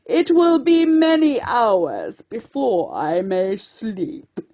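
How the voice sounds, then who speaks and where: A woman voicing an ancient, kindly female lobster in a bedtime story, with a cracked old voice and slow, emphatic delivery. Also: fairly high pitch, sing-song, dark tone, dull audio, pitched below the performer's own voice, exaggerated voice. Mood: solemn refusal. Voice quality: cracked, aged.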